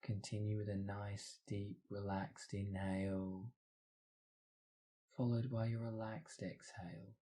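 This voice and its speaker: A man with a fairly low voice and a very mellow, relaxed tone leads a guided meditation. He reads scripted instructions in a soothing way, guiding the listener step by step. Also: slow.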